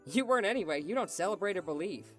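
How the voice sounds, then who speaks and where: A woman's voice: medium pitch, expressive, very fast, natural voice. Woman voicing a huffy mall elf, radio drama, voice bouncing all over, faint music. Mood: snippy. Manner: huffy, chatty. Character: snippy, put-upon mall Christmas elf.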